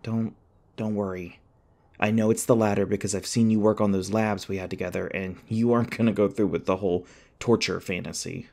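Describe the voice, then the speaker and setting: A man playing an anxious, sarcastic young college student in an audio roleplay, rambling and halting in a wry, flustered way. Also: on the low side, dark tone, close to an everyday voice. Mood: nervous, sarcastic. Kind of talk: audio roleplay acting, one-sided dialogue.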